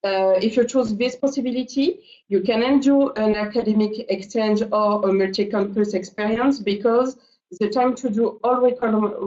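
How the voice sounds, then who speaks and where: Woman, fairly high voice, steady explaining, roomy echo, muffled lo-fi sound. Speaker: school representative. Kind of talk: explaining a study program in a webinar. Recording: echoey room, dull lo-fi sound.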